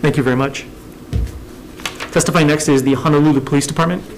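A man's voice: a little high, steady tone, slight background noise. A man, chairing a government committee hearing from a desk microphone in a hearing room. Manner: measured, businesslike, reading out agenda items. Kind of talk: formal hearing procedure.

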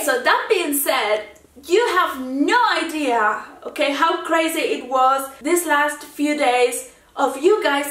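Casting: chatty woman in her twenties, slow unhurried delivery, echoey room, slightly distant mic. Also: high voice, fairly bright tone. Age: 20s. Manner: chatty, lots of fillers and restarts, laughs easily. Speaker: YouTube reaction host. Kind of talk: casual talk to camera. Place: indoor room in front of a framed seascape painting.

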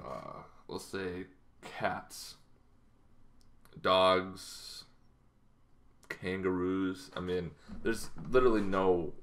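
A man in his thirties hosting a casual explanatory gaming vlog, talking in an informal, halting, conversational way. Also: low-pitched, a bit bright. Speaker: tabletop gaming video host. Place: small bedroom at home, indoors.

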